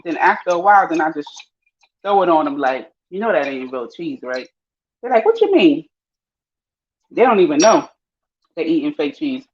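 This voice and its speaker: A woman's voice: moderate pace, long pauses. Chatty Panamanian woman, lo-fi audio. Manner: lively, chatty, slangy, swings high when excited. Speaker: vlogger. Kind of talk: casual live-stream chat while cooking. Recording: dull lo-fi audio.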